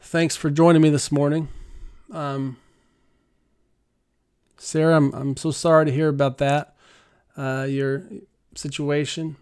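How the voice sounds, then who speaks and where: A middle-aged man hosting a prayer livestream from his living room, speaking softly and earnestly into a studio mic, halting with many fillers. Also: a little high, level tone, clean tone. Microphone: large studio mic on arm.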